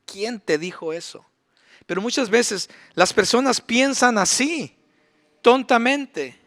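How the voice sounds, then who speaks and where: A man's voice: very high voice, animated, slow, a bit bright. A middle-aged male pastor preaching a sermon in a church, emphatic, with rhetorical questions. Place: church sanctuary.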